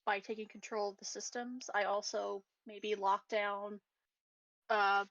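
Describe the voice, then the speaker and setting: A woman's voice: mid-range voice, little pitch movement, measured pace, a bit bright, no echo, lo-fi sound. A woman playing a tabletop roleplaying game, talking casually through her choices.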